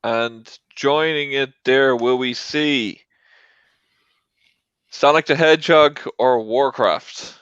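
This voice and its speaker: A man's voice: mid-range voice, slow pace, long pauses, a bit bright, clean tone, no room sound, dull audio. A man talking casually as a panelist on a movie debate show. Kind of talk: casual chat.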